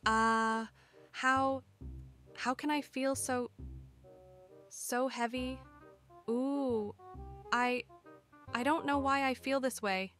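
A woman voicing a timid, anxious young female cartoon rabbit, hesitant and halting, with music behind. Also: high-pitched, steady tone, a bit bright.

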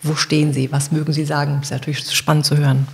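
A woman's voice: low voice, little pitch movement, quick pace, slight background noise. A woman hosting a podcast, putting conversational interview questions to her guests.

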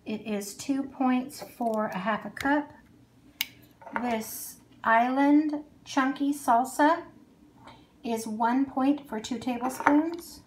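Chatty woman with a slightly high voice. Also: slow pace, a little hiss or hum, poor audio. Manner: chatty, thinking aloud with frequent ums. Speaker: vlogger. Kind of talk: casual grocery haul narration. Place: home kitchen, indoors.

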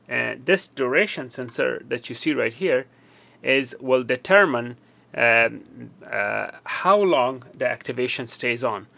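A man's voice: mid-range voice, gravelly, fairly bright tone. A man in his fifties giving an unscripted product demonstration, explaining and rephrasing his points as he goes. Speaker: tech tutorial presenter.

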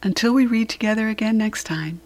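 A woman with an American accent, hosting and reading a storybook to young children with deliberate, expressive enunciation. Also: on the high side. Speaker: children's storybook reader and host.

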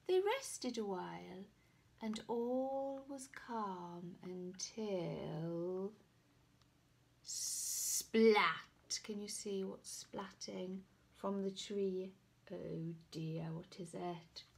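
A woman's voice: mid-range voice, drawn-out words, vocal fry. A woman in her forties reading a picture book aloud to young children, dramatic and coaxing. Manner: animated, dramatic, coaxing questions to listeners. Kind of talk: expressive storybook read-aloud to young children. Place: small room at home.

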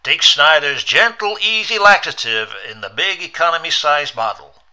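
A man's voice: a little high, animated, normal speed, sharp tone, voice pushed up. A man voicing a hearty, pushy radio advertising announcer in a story reading, delivering punchy, salesmanlike slogans. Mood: hearty selling.

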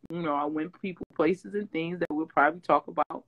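Guest: rough-voiced woman, 40s, lively storyteller on a home video call. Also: deep voice, fairly quick, lo-fi sound. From Detroit. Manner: animated storytelling, loud swings, laughs. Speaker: interview guest, former reality-show singer. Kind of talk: casual chat. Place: home room with green wall backdrop, video call.

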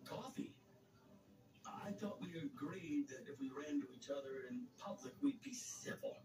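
An actor playing a coaxing middle-aged ex-boyfriend in a soap opera. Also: male voice, a little high, big pitch swings, moderate pace, background noise. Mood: genial, coaxing. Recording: TV played into distant mic, echoey, music.

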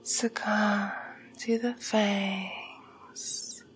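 A woman as a slow whispering vampire. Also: mid-range voice, fairly even intonation, a little hiss or hum. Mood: hypnotic. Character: seductive female vampire feeding on the listener. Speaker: ASMR roleplay performer. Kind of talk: ASMR roleplay. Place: dark room with backdrop.

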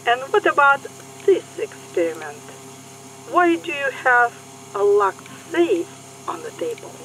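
A woman playing a brisk KGB agent in a radio drama. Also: high-pitched, big pitch swings, a bit bright, a little hiss or hum, exaggerated voice, low-quality recording. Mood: probing. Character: brisk female KGB mole questioning a scientist.

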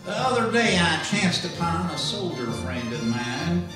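A man's voice: fairly high pitch, very animated, a little slow. A man playing an aggrieved hillbilly farmer, singing a comic folk song in a theatrical way. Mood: comic grievance. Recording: very echoey room, distant mic, music behind. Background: musical accompaniment.

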